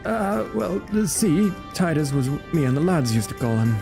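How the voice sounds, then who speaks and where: A man's voice: fairly high pitch, very animated, a little slow, creaky, over music, pitched above the performer's own voice, exaggerated voice. A man voicing a boastful, shifty male axeman mercenary from a game, blustering and stammering. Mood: blustering, nervous. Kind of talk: reading game dialogue aloud in character.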